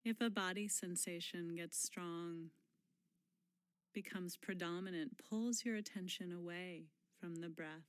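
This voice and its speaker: A woman leading a guided mindfulness meditation in a gentle, unhurried manner, pausing often and letting phrase endings fall soft and breathy. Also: lowish voice, steady tone, dry recording.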